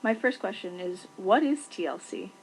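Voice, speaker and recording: A woman's voice: medium pitch, animated, moderate pace, low-quality recording. Female interviewer, plain mid voice, polite direct questions, faint hiss.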